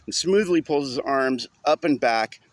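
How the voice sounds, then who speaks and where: A man's voice: mid-range voice, measured pace, no echo. Conversational man telling a personal story. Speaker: audiobook narrator.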